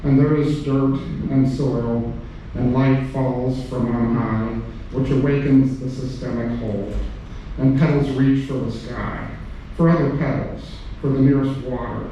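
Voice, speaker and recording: A man's voice: medium pitch, steady tone, a little slow, warm tone, highs cut off. A man speaking and reading aloud at a live poetry reading, recorded in a reverberant room. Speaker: poetry reader. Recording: echoey room, distant mic, faint noise.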